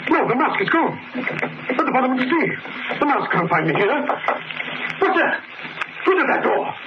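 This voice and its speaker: High-pitched, haunted, jealous husband. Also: male voice, big pitch swings, normal speed. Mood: terrified. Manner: overwrought, theatrical. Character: jealous, haunted husband tormented by a cursed mask. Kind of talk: 1930s radio melodrama acting. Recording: muffled, noisy old radio recording.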